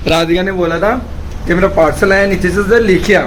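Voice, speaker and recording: Hoarse man, high voice, teasing vlog chat. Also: a little fast. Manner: lively, teasing, talks straight to viewers. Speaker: family vlogger. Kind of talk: casual family vlog chat to camera. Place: at home with his children. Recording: noisy home recording.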